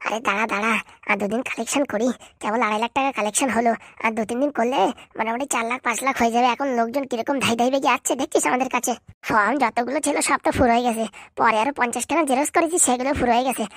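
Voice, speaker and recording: A woman playing a scheming older-sister swindler in a comedy cartoon, chatty, brisk and cocky. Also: on the high side, fairly even intonation, no room sound, studio quality. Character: scheming elder-sister swindler collecting money from villagers.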